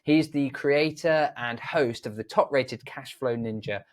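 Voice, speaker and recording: A male podcast host with a mid-range voice opens with a scripted welcome. He then asks his guest questions in a polite, measured way, softened with hedges. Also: mid-range voice.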